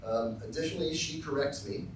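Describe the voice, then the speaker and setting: A middle-aged man from Texas giving a formal acceptance speech with dry, self-deprecating humor, often pausing with ums and uhs. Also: mid-range voice, heavy background noise. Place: large lecture hall. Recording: very echoey hall, voice from far off.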